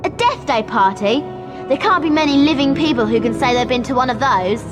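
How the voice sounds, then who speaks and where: A woman's voice: high voice, animated, fairly quick. A woman voicing an earnest, curious schoolgirl witch in a video game. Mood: intrigued. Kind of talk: video game character acting. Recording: music behind the voice.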